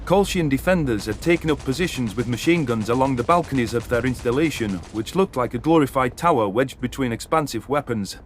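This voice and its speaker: A man's voice: medium pitch, expressive, a little fast, few pauses. A man narrating a dramatic first-person science fiction story as an audiobook.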